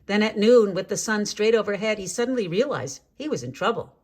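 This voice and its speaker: A woman reading a children's story aloud in an expressive, measured way, drawing out key words for drama. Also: mid-range voice. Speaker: storybook reader.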